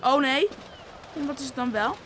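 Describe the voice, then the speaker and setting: An actress playing an eager, stubborn child of eleven in a Dutch radio play. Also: female voice, sits high, moderate pace, fairly bright tone. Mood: grumbling. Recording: noisy old radio play recording.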